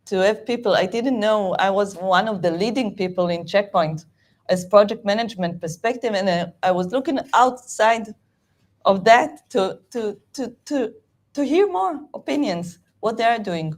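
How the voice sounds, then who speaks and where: A middle-aged woman with an Israeli accent, a native Hebrew speaker, giving a halting, animated talk with many fillers and restarts as a guest speaker at a meetup. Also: mid-range voice. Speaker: guest speaker, project management VP.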